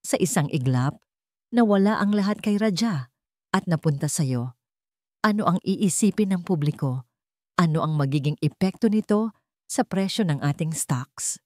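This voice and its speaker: A woman's voice: fairly low pitch, lively intonation, normal speed, long pauses, darkish tone, no room sound, clean studio sound, natural voice. Woman voicing a suspicious board member. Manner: suspicious, worried.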